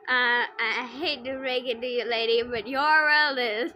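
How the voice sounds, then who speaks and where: A woman's voice: a little high, fairly even intonation, unhurried, bright, forceful tone, faint music behind. A woman game streamer bantering casually in a playful, teasing way while reacting live to what she plays. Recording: noisy home livestream mic.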